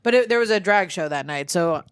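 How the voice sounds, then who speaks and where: A woman co-hosting a comedy podcast, telling a story in animated, quick bursts. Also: on the low side, clean tone, no echo, studio quality. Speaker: podcast co-host.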